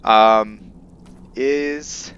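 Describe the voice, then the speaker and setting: A man giving casual game commentary with roleplay lore, thinking aloud in a halting way. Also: fairly low pitch, fairly even intonation, fairly bright tone, clear, resonant tone, muffled recording. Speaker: gamer hosting a roleplay let's play.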